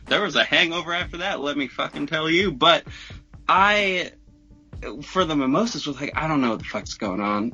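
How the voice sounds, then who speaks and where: A man telling a personal story as a podcast guest in a casual, animated, profane, slangy way. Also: a little high, muffled recording.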